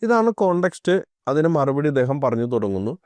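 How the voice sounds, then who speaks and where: Fast-talking man giving critical commentary. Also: on the high side, sing-song, darkish tone, no echo. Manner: critical, argumentative. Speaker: reaction video host. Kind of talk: spoken commentary.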